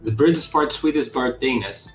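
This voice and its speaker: A man's voice: mid-range voice, normal speed, echoey room, music in the background, rough recording. A man game developer chatting casually on a live stream, rambling with lots of "like" fillers and the odd swear word.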